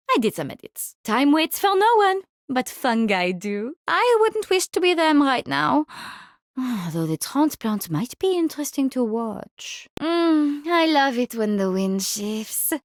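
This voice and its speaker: A woman's voice: sits high, no echo, clean studio sound. An actress playing a playful, morbid woman doctor in a video game, theatrical and gleefully clinical. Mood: wry. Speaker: game character voice.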